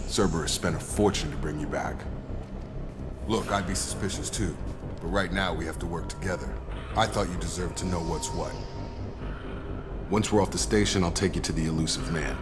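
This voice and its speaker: Guy playing loyal young sci-fi game soldier, really low voice, straight-talking, music under it. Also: lightly acted. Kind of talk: video game voice acting. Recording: music behind game dialogue.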